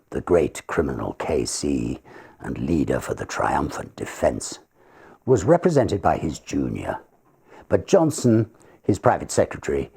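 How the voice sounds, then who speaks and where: A man reads literary tales with theatrical flair. His voice is extremely deep and heavily gravelled, with a husky grain, and his delivery is expressive and dramatic. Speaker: ghost story reader. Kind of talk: dramatic literary reading, verse and prose.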